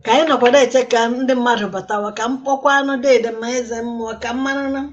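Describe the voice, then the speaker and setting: A Nigerian woman with an Igbo accent hosting a live stream, unhurried and apologetic, coaxing viewers to join her. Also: on the high side, fairly even intonation, muffled recording. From Igbo land, southeastern Nigeria. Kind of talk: live-stream chat, mixing Igbo and English.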